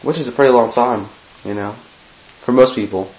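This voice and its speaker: A man's voice: medium pitch, a little fast, darkish tone, muffled recording, slight background noise. A teenage boy recording a rambling, goofy birthday message on a webcam in his small bedroom. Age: teens. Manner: goofy, rambling, self-interrupting. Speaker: vlogger. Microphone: webcam close to face.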